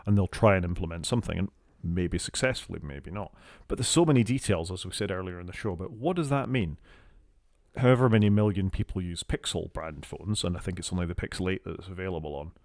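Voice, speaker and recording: A low-pitched man with a Scottish accent chats about technology as a podcast co-host. His delivery is wry and measured, and his phrases often fade off quietly at the end. The sound is dry and close, with no room around it. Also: dry recording. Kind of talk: casual tech chat.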